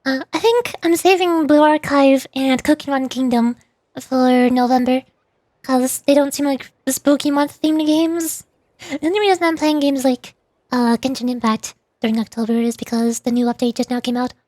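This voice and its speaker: A woman's voice: high-pitched, moderate pace, a little mellow, no room sound. A woman livestreamer chatting casually to viewers, rambling and thinking aloud as she goes.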